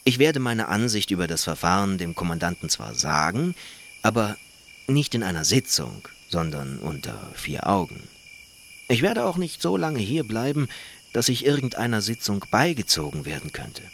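A principled, formal gentleman traveller played by an actor in a radio play. His deep voice moves expressively yet stays measured and resolute. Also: sing-song, gravelly, slight background noise, exaggerated voice. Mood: firm, composed. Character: reserved, principled male foreign traveller, calmly firm. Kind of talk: German radio play acting.

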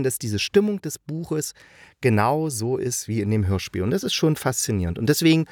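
A man from eastern Germany presents a casual spoken review. His voice sits at an average male pitch, and he talks in a chatty, enthusiastic manner. The recording is dry, with hardly any room sound. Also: darkish tone, no room sound. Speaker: audio drama review host.

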